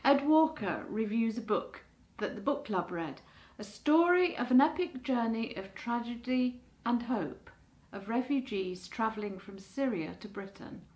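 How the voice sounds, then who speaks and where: An older woman reading the programme introductions calmly from a script, recorded in a living room at home. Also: on the high side, a little slow, dull audio. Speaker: co-presenter.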